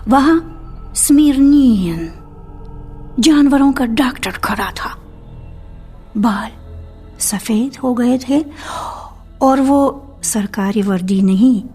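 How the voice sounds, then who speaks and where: A woman narrating a literary story for radio in a measured storytelling manner. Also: a little high, darkish tone, husky. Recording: reverberant archival radio recording with music.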